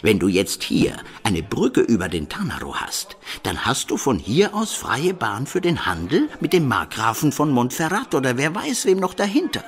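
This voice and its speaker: A man's voice: mid-range voice, wildly swooping pitch, normal speed, few pauses. An actor playing a shrewd, earthy medieval townsman in a radio play, explaining things emphatically to a friend. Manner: emphatic, explanatory, checking the listener follows.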